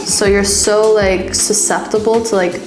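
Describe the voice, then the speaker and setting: A woman's voice: mid-range voice, flat delivery, measured pace. A young woman from Hungary, a tattoo artist, chatting casually with a client, laughing often and swearing. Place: tattoo studio, indoors. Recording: echoey room with music behind.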